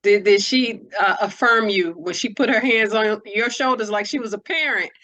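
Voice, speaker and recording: A woman's voice: mid-range voice, normal speed, a bit bright, low-quality recording. A woman hosting a casual interview, asking questions in a playful, informal way. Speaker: interviewer, host.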